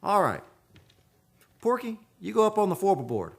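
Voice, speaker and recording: A man acts as a practical, impatient boss of a drilling crew in a radio play. His voice is a little high and swings widely in pitch. He talks in brusque, slangy bursts, like someone used to giving orders. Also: huge pitch swings, moderate pace, gravelly, full character voice. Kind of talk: radio drama acting.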